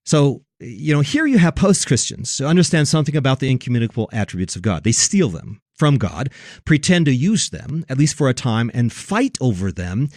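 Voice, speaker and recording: Man, mid-pitched, dry close sound, emphatic preacherly delivery, religious commentary, stresses key words. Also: big pitch swings, fairly quick, darkish tone, dry recording. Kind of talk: opinionated religious commentary in conversation.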